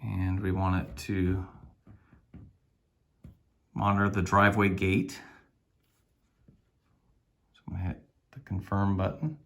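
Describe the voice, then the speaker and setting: A man's voice: sits low, flat delivery, slow, vocal fry, pauses often, fairly bright tone. A man giving a casual hands-on tutorial, thinking aloud in a tentative way as he demonstrates a device. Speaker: product reviewer demonstrating a device.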